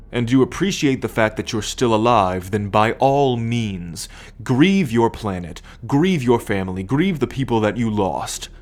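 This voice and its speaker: A man playing a proud, possessive alien starship captain in an ASMR roleplay, speaking in a measured, formal, commanding way to the listener. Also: on the low side. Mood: stern, solemn. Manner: measured, imperious, formal lecturing.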